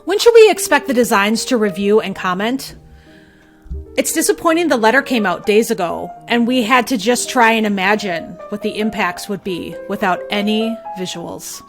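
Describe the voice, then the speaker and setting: Casting: woman, talk show guest, playful joker, mid-pitched voice, music present. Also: lively intonation, normal speed. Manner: playful, joking. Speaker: guest, local journalist. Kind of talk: casual chat with dramatic reading of public comments.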